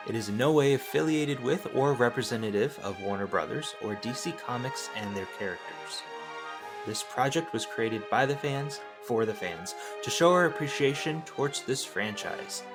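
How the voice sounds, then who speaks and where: A man reading scripted credits and disclaimers as an announcer. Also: medium pitch. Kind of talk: scripted narration. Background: music.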